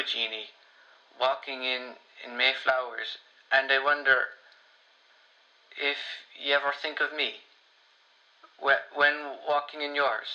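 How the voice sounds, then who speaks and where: An actor playing a shy, wistful elderly Irish man in a radio play, halting and repeating himself as he rambles. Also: on the high side, bright, forceful tone. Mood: wistful, tender. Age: older. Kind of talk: radio play voicemail monologue. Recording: dull, lo-fi voicemail sound.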